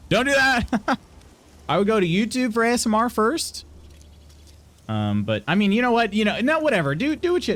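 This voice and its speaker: A young adult man streaming live, reading out chat messages and answering them in a halting, chatty way with frequent restarts. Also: fairly high pitch, fairly bright tone.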